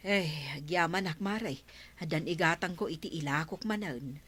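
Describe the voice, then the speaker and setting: An actress with an Ilocano accent playing a grateful, good-natured market vendor in a radio comedy drama. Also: female voice, sits low, moderate pace, a bit bright, husky.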